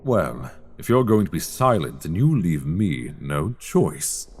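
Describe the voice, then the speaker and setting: Man acting a deep, slow, menacing sorcerer professor. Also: big pitch swings, a little hiss or hum, full character voice. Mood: menacing, teasing. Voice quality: low, creaky. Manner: deliberate, condescending, silky, teasing, formal diction. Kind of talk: slow dark fantasy ASMR roleplay acting. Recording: long cavernous reverb.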